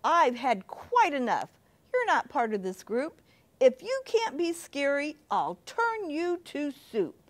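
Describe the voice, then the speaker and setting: A woman's voice: high-pitched, big pitch swings, slow pace, pitched above the performer's own voice, heavily acted. Woman doing a stern, bossy witch. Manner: stern and scolding.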